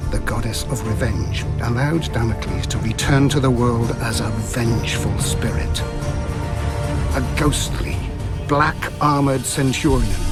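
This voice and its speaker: An actor voicing a solemn storyteller who recounts an ancient legend in a video game, measured and ominous. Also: male voice, mid-range voice, close to an everyday voice. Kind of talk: scripted dramatic game narration.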